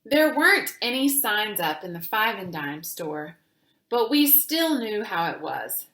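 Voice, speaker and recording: A woman reading a children's book aloud in a deliberate, teacherly way, pausing to speak to young listeners. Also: medium pitch, sing-song, a bit bright, reverberant. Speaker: reader of children's books for a virtual summer camp. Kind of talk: read-aloud storytelling with asides to children.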